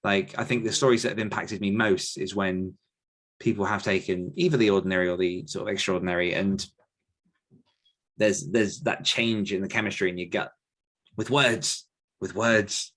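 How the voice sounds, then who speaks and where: A man speaking casually and reflectively as an author being interviewed, often pausing to search for his words. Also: lowish voice, fairly even intonation, fairly bright tone. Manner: thoughtful, halting, searching for words. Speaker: podcast guest author answering questions.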